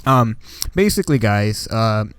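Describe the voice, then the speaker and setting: Young guy from washington state telling a story time, smooth clear voice, dry close sound, keeps saying like and you know. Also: fairly low pitch, big pitch swings, unhurried, fairly bright tone, no echo. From Washington State, United States. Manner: rambling, with many "like" and "you know" fillers. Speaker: channel host. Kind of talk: casual storytime vlog.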